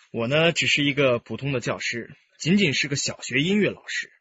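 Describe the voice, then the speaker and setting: A man's voice: medium pitch, lively intonation, sharp tone, husky, dull audio. An actor playing a composed, candid young male music teacher, speaking politely and carefully in a radio drama. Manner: polite, measured, explanatory.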